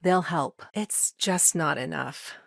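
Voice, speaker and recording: A professional female lawyer in a sci-fi video game, with a slightly low voice. She is articulate and composed, with a brisk, wry delivery, recorded close and dry with no room sound. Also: vocal fry, no echo, full character voice. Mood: concerned. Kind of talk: video game character dialogue.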